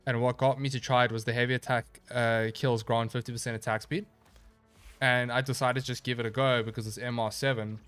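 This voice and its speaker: A relaxed man talks through a live gaming stream into a desk mic, rambling loosely and answering viewers as he goes. His voice sits at an ordinary middle pitch and carries a slight stuffy, nasal edge. Quiet game music plays underneath. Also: brisk, clean tone. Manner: laid-back, rambling, reads chat aloud and answers. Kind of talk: casual live-stream chat while gaming.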